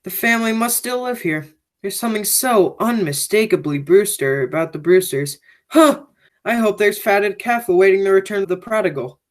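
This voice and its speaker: A sinister, theatrical villain played by a teenage girl in a radio play. He is a criminal back at his old home, speaking in grand, ominous phrases at a middling pitch. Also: normal speed, lightly acted. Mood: menacing, grandiose. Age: teens. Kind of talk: school radio play acting.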